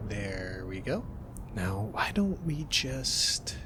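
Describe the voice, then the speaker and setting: A young man in a soft-spoken ASMR roleplay, teasing and gentle as a cuddly roommate. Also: fairly low pitch, big pitch swings, very slow, noisy background.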